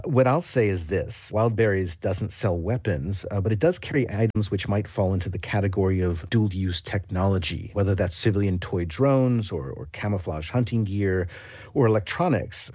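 A man's voice: low-pitched, moderate pace, darkish tone, slightly rough, no echo, studio quality. A man with an American accent giving an explanatory radio news report as a foreign correspondent, in a conversational way.

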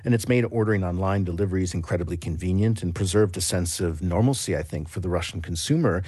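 A man's voice: low-pitched, quick pace, dark tone, husky, no room sound, clean studio sound. A man with an American accent giving an explanatory radio news report as a foreign correspondent, in a conversational way.

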